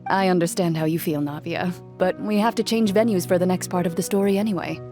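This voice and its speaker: A woman voicing a calm, reserved young duelist in an anime-style game, speaking formally and matter-of-factly. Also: fairly low pitch, quick pace, soft background music, close to an everyday voice. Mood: composed.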